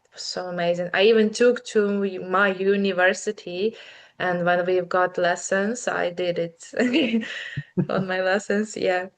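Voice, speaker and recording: A woman's voice: lowish voice, fairly even intonation, unhurried. A woman in her twenties with a Ukrainian accent, a native Ukrainian speaker talking as an interview guest in a thoughtful, hesitant way. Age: 20s. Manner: thoughtful, hesitant, many fillers and restarts. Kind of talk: casual interview chat.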